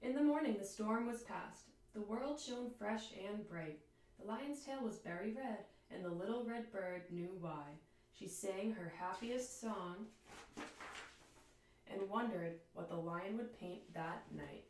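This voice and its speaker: A woman reading a children's storybook aloud like a teacher, with expressive, deliberate phrasing. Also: medium pitch, a little hiss or hum. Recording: echoey room, distant microphone.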